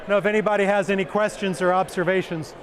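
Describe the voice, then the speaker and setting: A man with a big booming voice, giving a conference talk and answering questions with jokes. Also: steady tone, quick pace. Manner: joking, self-deprecating, repeats questions aloud. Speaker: conference speaker. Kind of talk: presentation and Q&A.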